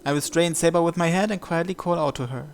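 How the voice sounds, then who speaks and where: A man reading a visual novel's story text aloud as narration, in a measured, deliberate way. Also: fairly high pitch, creaky, slight background noise. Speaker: video game player reading the script aloud.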